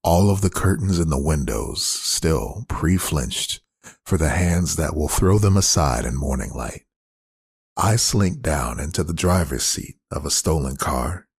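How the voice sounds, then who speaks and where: A man reading a poem aloud in a measured, deliberate literary style. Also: rumbling low, lively intonation, creaky, breathy edge, dry recording. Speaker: poetry reader.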